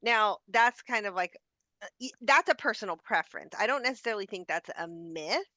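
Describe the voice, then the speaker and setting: A woman's voice: medium pitch, expressive, brisk, pushed and bright, no echo. A woman in her fifties, a personal stylist chatting casually in an interview and acting out what her clients tell her. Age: 50s. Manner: chatty, acts out clients' complaints in quotes.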